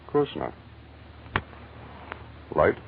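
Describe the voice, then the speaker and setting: An actor playing a big, polite American stranger with a measured, insinuating manner, in 1940s radio drama style. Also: male voice, phone-quality sound. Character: tall, heavy-set, calmly insinuating American stranger. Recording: thin, faintly hissy vintage radio recording.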